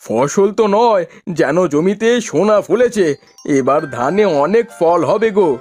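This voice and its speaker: A man's voice: high voice, expressive, measured pace, a little mellow, faint music behind. A man playing a kindly, eager village rice farmer and husband in a Bengali cartoon story, with homely, exclamatory talk. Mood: excited.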